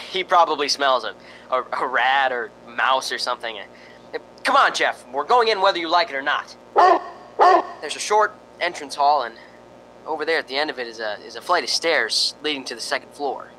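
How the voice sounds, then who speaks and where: A man's voice: medium pitch, lively intonation, moderate pace, bright, forceful tone, a little hiss or hum, low-quality recording. A man playing a jittery, joking, skeptical radio reporter in an amateur radio drama, with a folksy, stammering delivery. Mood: exasperated. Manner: folksy, wisecracking, nervous stammering.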